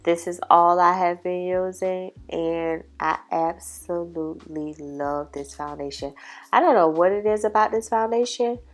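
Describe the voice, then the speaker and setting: A congested woman, chatting casually to camera about makeup and giving her opinions. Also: fairly low pitch. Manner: chatty, opinionated, drawn-out emphasis. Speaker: beauty vlogger. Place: home makeup setup with ring light. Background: soft background music.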